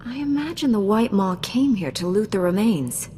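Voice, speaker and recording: A woman's voice: medium pitch, lively intonation, normal speed, mellow, close to an everyday voice. An actress playing a confident, dryly witty female Jedi Knight in a video game, cool and assured. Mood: dry, wry. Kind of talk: video game cutscene acting. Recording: echoey hall, music behind.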